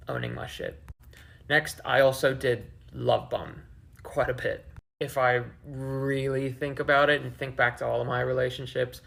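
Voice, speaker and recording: Young man with a gravelly, creaky voice. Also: medium pitch, a bit bright. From several countries including the Philippines, Australia and the UK. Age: 20s. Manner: candid, swearing. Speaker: online video creator. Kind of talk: personal confessional vlog addressed to viewers.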